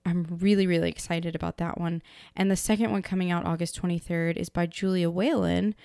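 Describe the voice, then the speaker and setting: Hoarse woman, gravelly low voice. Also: steady tone, fairly quick, warm tone, no room sound, studio quality. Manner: chatty, rambling, with fillers. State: hoarse from illness. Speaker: podcast host. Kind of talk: casual book chat.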